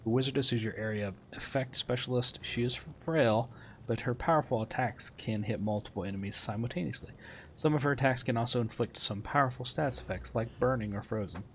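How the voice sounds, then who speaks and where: A man's voice: mid-range voice, fairly quick, slight background noise. A man giving casual, matter-of-fact gameplay commentary and reading tutorial text aloud as he plays. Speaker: gamer doing a playthrough.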